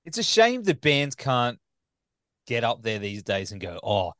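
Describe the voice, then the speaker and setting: A man's voice: medium pitch, huge pitch swings, unhurried, a bit bright, no echo, clean studio sound. A man, hosting a podcast interview in an informal, chummy, chatty way. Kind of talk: casual interview chat.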